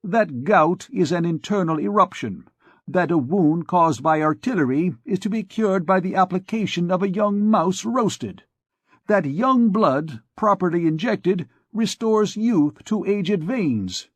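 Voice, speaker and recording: A man's voice: on the high side, animated, measured pace, darkish tone, no echo, pitched above the performer's own voice. A man voicing an irritable middle-aged court physician, scornful and sarcastic. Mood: heated. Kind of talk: audiobook character reading.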